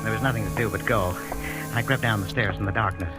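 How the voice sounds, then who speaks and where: An actor playing a timid, henpecked husband in a 1940s radio drama, with a hesitant, flustered manner. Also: male voice, on the low side, fast talker, close to an everyday voice. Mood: anxious. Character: timid, henpecked husband, a nervous dreamer telling his tale. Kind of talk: 1940s radio acting, first-person narration and dialogue. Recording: old radio broadcast with music.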